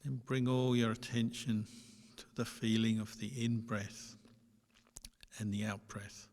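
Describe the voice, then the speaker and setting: A man teaching as a meditation guide, giving gentle, unhurried instructions with long pauses between short phrases. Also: lowish voice, fairly even intonation. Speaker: Buddhist monk and meditation teacher. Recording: long hall reverb.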